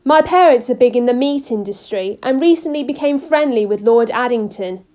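A woman's voice: fairly high pitch, lively intonation, moderate pace, darkish tone, natural voice. A woman playing a chatty, curious, sheltered young lady in a 1930s radio drama. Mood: curious, friendly.

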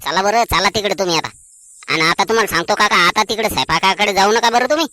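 A man playing a calm, placating peacemaker at a cartoon village wedding, reasoning and coaxing with a rural Maharashtrian accent. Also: high-pitched, fast talker, no echo. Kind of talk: cartoon comedy dialogue.

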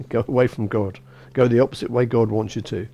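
A middle-aged man preaches a sermon from a lectern in a small church. His voice is mellow and dark, set at an ordinary middle pitch. He talks loosely and conversationally, stumbling and restarting with plenty of "you know". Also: steady tone, brisk, dull audio. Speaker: bishop. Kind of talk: informal sermon.

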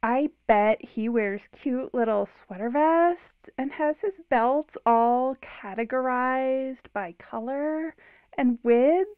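A woman's voice: sits high, slow, smooth, dry recording. A woman playing a breezy, sardonic young girlfriend in an audio drama, teasing, through a phone filter. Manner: drawling, teasing. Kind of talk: modern audio drama acting. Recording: tinny narrow phone line.

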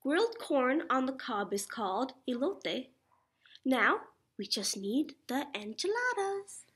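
Young woman, preschool teacher, high-pitched, slow read-aloud delivery, addressing small children. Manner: animated, instructive, addressing children with questions. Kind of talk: children's picture-book read-aloud with sing-song refrains. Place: small indoor classroom.

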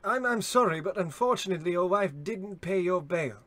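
A man acting a meek, apologetic, loyal employee with a halting, deferential manner in a radio comedy. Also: sits high, expressive, a bit bright.

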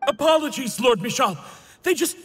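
An actor playing a frightened, apologetic mercenary underling in an anime video game, panicky and deferential. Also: male voice, very high-pitched, lively intonation, normal speed, fairly bright tone, breathy edge, soft background music, heavily acted. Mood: panicked, apologetic. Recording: reverberant hall.

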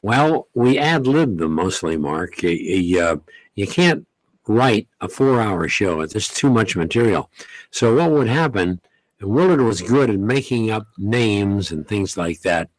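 An older man recalls his radio years in a relaxed, folksy manner, pausing often. His voice sits low. The sound is dry and close, from a studio mic. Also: no echo, low-quality recording. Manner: unhurried, folksy, many pauses and "uh"s. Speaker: veteran radio host, guest. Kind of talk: interview, reminiscing. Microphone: studio mic, headset.

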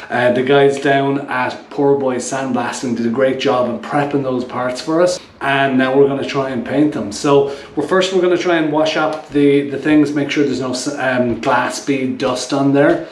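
A man's voice: medium pitch, normal speed, few pauses. A man in his thirties co-hosts a motorcycle build video and keeps it light and jokey. His mid-range voice bounces around a roomy home garage. A faint hum of noise sits under it. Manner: joking, relaxed, laughs often. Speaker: co-host of a motorcycle build channel. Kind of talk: casual workshop chat. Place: home garage workshop, indoors.

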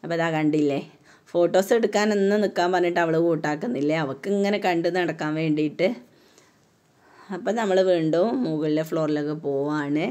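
A mother with a Kerala accent chatting casually while filming a walk through a shopping mall on her phone, often ending sentences with a soft tag word. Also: low-pitched, fairly quick. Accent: Malayalam, Kerala. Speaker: vlogger, mother touring a mall with her daughter. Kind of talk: casual walk-around vlog narration. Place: large indoor shopping mall. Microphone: phone camera held while walking.

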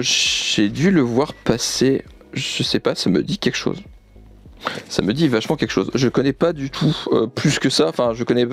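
A young man chatting off the cuff as an enthusiastic vlogger, often repeating himself. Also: medium pitch. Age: young adult. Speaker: YouTuber presenting a manga unboxing. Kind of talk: casual unscripted vlog chat. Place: indoor room with manga shelves.

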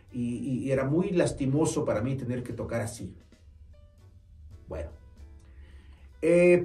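A man's voice: mid-range voice, a little mellow. A man in his forties with a Mexican Spanish accent, earnest and friendly, explaining things to camera with small hesitations. His voice comes from a distance through the camera mic, and the room is roomy and echoing. Faint music plays in the background. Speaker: saxophone teacher and music store owner. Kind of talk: informal instructional talk to camera. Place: small indoor studio corner in a shop. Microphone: far camera mic.

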